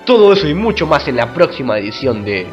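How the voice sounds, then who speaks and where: A man's voice: medium pitch, sing-song, quick pace, muffled recording, soft background music. A man reading scripted mock-romantic narration in a florid, melodramatic way.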